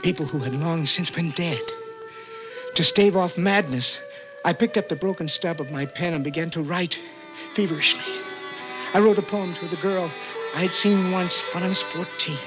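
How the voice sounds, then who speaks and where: A man's voice: a little high, natural voice. A man playing a desperate young imprisoned poet in earnest, dramatic 1960s radio suspense style. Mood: feverish. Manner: intense, earnest, dramatic, halting when startled. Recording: dull old radio recording, prominent music.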